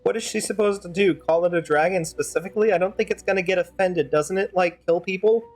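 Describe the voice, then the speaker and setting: A woman's voice: lowish voice, fast talker, a little mellow, soft background music. A woman streaming a game, giving casual commentary in a wry, argumentative manner.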